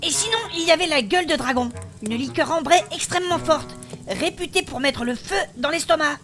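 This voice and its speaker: A woman voicing a composed, well-travelled adventurer in a fantasy comedy audio drama, informative and gently teasing. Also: sits high, brisk. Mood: recounting. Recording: music behind the voice.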